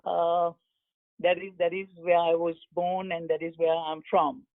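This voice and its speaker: A man from Sri Lanka chatting as a guest in a relaxed way. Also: on the high side, measured pace, vocal fry, dry recording. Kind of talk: casual chat. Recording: tinny phone-like line.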